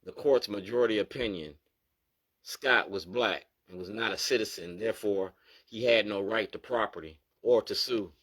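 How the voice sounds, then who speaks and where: A man's voice: lowish voice, moderate pace, fairly bright tone, breathy edge. A man, an activist talking straight to the camera as he goes through his documents, insistent and repeating "you feel me?" to drive each point home. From Baltimore, USA. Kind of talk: direct-to-camera monologue going through documents.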